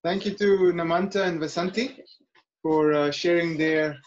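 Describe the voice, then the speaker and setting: A man's voice: fairly high pitch, steady tone, moderate pace, slight background noise. A young man hosting an online open mic over a video call, talking casually with many restarts and fillers. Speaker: host. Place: indoor room. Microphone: laptop webcam on video call. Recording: dull lo-fi video call audio.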